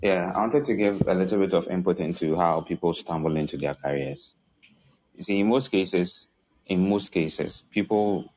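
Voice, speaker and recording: A man with a very low voice calls in to a live talk, laying out his ideas in numbered steps. He keeps asking whether listeners get it, on a remote line with a slight hiss behind him. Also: moderate pace, a little mellow, low-quality recording. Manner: methodical, numbers his points and checks understanding. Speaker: caller giving input. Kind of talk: casual explanatory talk. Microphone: remote call-in line.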